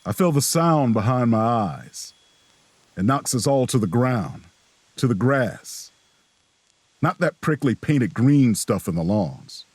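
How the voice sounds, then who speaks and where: An actor playing a wary, folksy traveler who tells his story in an audio drama with a soft drawl. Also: medium pitch, very animated, breathy edge, dry recording, full character voice. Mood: hushed dread. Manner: slow, suspenseful first-person narration. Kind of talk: audio drama narration and dialogue.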